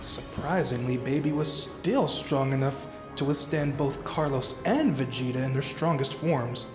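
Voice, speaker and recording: A man plays an analytical male anime fighter who explains things in a composed, measured way. The voice rings with echo and sits over clearly audible music. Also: medium pitch, close to an everyday voice. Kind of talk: anime fan-drama acting. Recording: echoey, with clear music behind.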